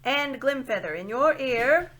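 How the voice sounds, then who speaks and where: A woman voicing a pompous, hard-of-hearing elderly dwarf lord in a storybook, booming and emphatic. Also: animated, unhurried, sharp tone, exaggerated voice. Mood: courtly, booming. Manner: booming, emphatic, repeats himself, querying "eh?".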